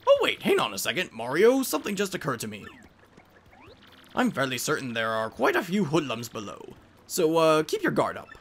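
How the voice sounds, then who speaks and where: A man voicing a scholarly, fussy, excitable old professor in a game, speaking in formal, old-fashioned phrases. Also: on the high side, fairly quick, slight background noise. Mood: cautious.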